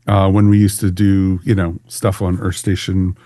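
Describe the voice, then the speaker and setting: Male podcast host, low-pitched and chatty, folksy humor, close dry sound. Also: monotone, normal speed, mellow, dry recording. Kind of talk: casual podcast hosting.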